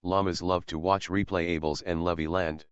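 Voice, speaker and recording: A man's voice: low-pitched, steady tone, moderate pace, smooth, no room sound, muffled recording. A man delivering a short scripted announcer tag.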